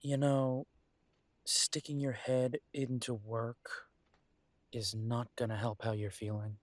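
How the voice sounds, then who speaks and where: A man playing a caring, weary werewolf detective boyfriend in an intimate roleplay audio drama, speaking in an earnest, gently coaxing, conversational way. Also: mid-range voice, flat delivery, takes it slowly, no echo, lightly acted. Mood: gently concerned.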